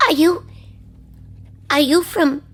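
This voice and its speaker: A woman acting a bemused, unimpressed human in a radio comedy, hesitant and deliberate. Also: high-pitched, steady tone.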